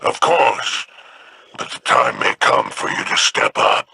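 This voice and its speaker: A man voicing a sly, greedy alien crime boss in a video game, with a gravelly growl and a sardonic drawl. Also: extremely low pitch, huge pitch swings, takes it slowly, bright, forceful tone, a little hiss or hum, exaggerated voice. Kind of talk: video game character dialogue.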